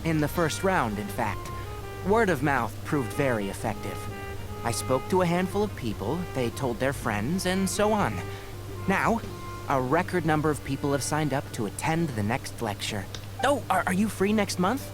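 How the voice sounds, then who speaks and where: An actor playing a sensible, composed young scholar in a video game, articulate and dryly teasing. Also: male voice, a little high, very animated, normal speed, music in the background, low-quality recording. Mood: wry. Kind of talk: English game voice acting.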